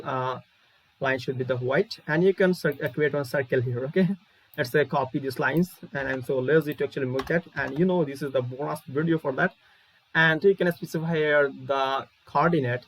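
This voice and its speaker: Bangladeshi guy doing a casual coding tutorial, kinda high voice, talks thru each step out loud, repeats himself. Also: rough recording. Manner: thinks aloud step by step, repeating phrases. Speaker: programming tutorial instructor. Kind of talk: informal screen-recorded coding tutorial. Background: keyboard typing.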